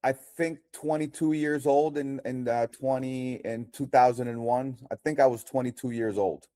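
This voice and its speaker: Colombian man with a mellow, dry voice. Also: medium pitch, normal speed, no echo. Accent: Colombian Spanish. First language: Spanish. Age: 40s. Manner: confident, practical, animated advice. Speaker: guest comedian. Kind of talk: casual livestream chat.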